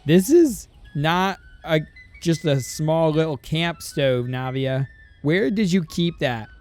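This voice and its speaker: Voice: mid-range voice, lively intonation, takes it slowly, a little mellow. A streamer giving casual, playful, rambling commentary while reacting to a game. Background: game music.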